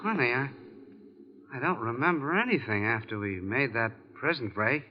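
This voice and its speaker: A man playing a dazed, injured young escaped convict in an old-time radio drama, halting and groggy, on a muffled recording. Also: mid-range voice, animated, a little hiss or hum, lightly acted.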